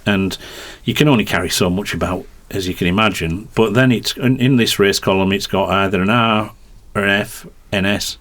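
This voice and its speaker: Low-voiced middle-aged Yorkshire man. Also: normal speed, few pauses, fairly bright tone. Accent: Yorkshire twang. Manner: rambling, hesitant, detail-heavy explanations. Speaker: racing commentator and guest. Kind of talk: podcast conversation.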